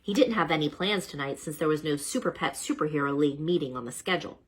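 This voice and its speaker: A woman reading a children's storybook aloud as a storyteller, dramatic and expressive, with chatty asides to the listeners. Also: deep voice, fast talker, husky.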